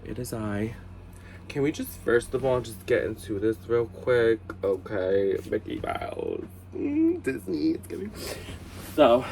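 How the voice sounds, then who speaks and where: Low-voiced man with a head cold. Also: noisy background. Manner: chatty, rambling, self-mocking asides. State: congested from a cold. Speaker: vlog host. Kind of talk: casual storytime chat.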